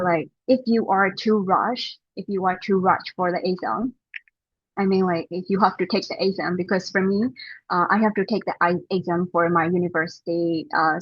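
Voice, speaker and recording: Husky young woman, dull lo-fi audio. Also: fairly low pitch, dry recording. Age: 20s. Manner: relaxed, hedging with fillers. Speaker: guest. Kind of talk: casual interview answers.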